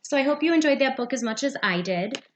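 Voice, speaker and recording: A woman's voice: high voice, lively intonation, fast talker, slight background noise. A woman, a teacher, reading a picture book aloud for young children. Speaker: second-grade teacher.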